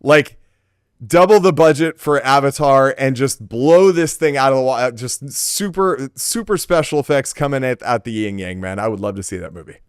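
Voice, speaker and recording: A man's voice: medium pitch, normal speed, smooth, dry recording, clean studio sound. A man co-hosting a podcast, chatting casually in an informal, emphatic way. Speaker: podcast co-host.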